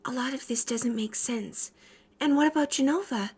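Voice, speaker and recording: A woman voicing a serious, skeptical and quietly mysterious young woman in measured video game dialogue. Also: sits high, breathy edge. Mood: suspicious.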